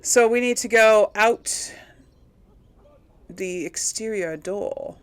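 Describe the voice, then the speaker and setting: A woman's voice: mid-range voice, slow pace, clear, resonant tone. A woman hosting a casual gaming let's play, thinking aloud in a chatty, folksy way with a slight throaty tickle from a recent cold. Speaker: gaming video host.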